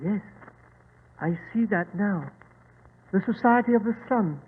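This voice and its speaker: An actor playing a solemn, ominous Egyptian dignitary and scholar, formal and dramatic, in old-time radio drama. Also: male voice, sits high, a little slow, husky. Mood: shaken. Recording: tinny old radio recording, long reverb.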